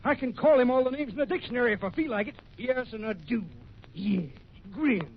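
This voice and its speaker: High-pitched, blustery Old West prospector. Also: male voice, animated, a little fast, gravelly, full character voice. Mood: outraged. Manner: blustering, indignant exclamations and folksy insults. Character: grouchy, excitable Old West prospector, camp cook. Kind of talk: old-time radio western acting. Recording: muffled, noisy old radio recording.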